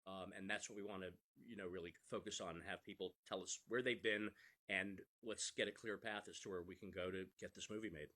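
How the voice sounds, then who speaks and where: A man's voice: low-pitched, steady tone, quick pace, pushed and bright. A man speaking earnestly and conversationally as an interview guest, sometimes repeating words as he starts a phrase. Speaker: screenwriter and podcast creator, interview guest.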